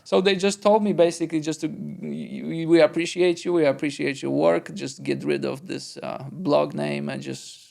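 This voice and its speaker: Gravelly-voiced journalist telling stories. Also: male voice, a little high, fairly even intonation, normal speed, few pauses, a little mellow. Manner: chatty storyteller, quotes others. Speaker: basketball journalist, podcast co-host. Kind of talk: casual conversation.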